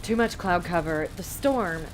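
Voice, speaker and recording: A woman playing a quick-witted young surveillance agent in an audio drama, brisk and wisecracking. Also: lowish voice, lively intonation. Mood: cheeky, brisk. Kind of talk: scripted audio drama acting. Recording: clear noisy ambience behind voice.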